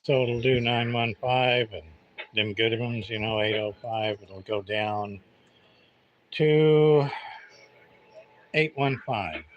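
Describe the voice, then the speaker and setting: A man's voice: medium pitch, takes it slowly, long pauses, lo-fi sound. A man hosting a casual livestream demonstration, thinking aloud in a hesitant, rambling, muttering way. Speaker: livestream host, CB radio repairer. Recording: clear background noise.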